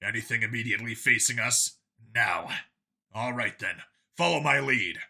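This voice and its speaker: A terse, decisive soldier, played by a male narrator gives orders to his commandos. The voice is forceful and bright in a sci-fi audiobook style. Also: mid-range voice, lively intonation, normal speed, vocal fry, husky, exaggerated voice. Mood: focused, commanding.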